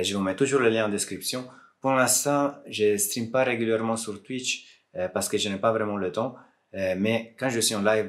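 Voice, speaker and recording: A man from Italy speaking French with an Italian accent, not his native language, chatting casually to camera as a tech YouTuber, friendly and joking with hesitations. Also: lowish voice.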